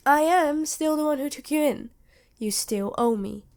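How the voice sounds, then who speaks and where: A woman voicing a worried, protective vampire queen in an ASMR roleplay, earnest and measured, with dramatic pauses. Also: high-pitched, darkish tone, pitched above the performer's own voice. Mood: stern, exasperated. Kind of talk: ASMR roleplay acting addressed to listener.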